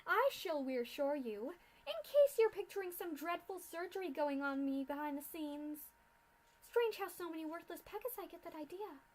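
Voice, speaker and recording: A woman's voice: very high-pitched, expressive, normal speed, pitched above the performer's own voice. A woman voicing a sadistic, mocking female pony scientist in a fanfic reading, with a theatrical, mock-polite sneer.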